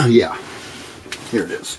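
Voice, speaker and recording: A man giving a casual, unscripted demonstration at a workbench, halting and thinking aloud as he goes. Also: noisy background. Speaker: hobbyist presenter. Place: indoors at a workbench.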